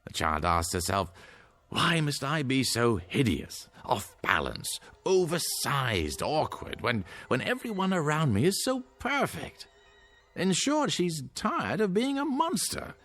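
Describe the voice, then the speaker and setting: An actor playing a genial, patronizing doctor in a radio drama, with a condescending, hesitant manner. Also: male voice, fairly high pitch, sing-song, unhurried, fairly bright tone. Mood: pompous. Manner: chuckling, condescending, hesitant asides.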